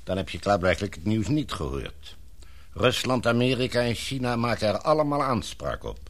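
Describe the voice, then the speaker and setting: A man playing an older English knighted father in a radio drama, authoritative, measured and formal, gloomy yet affectionate. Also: on the low side, big pitch swings. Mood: serious, brisk.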